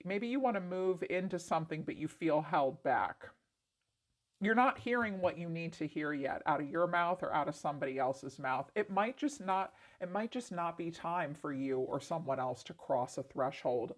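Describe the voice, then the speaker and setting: Congested raspy woman reading tarot. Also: lowish voice. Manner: chatty, many "like" fillers. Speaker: tarot reader. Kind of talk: casual tarot reading to camera.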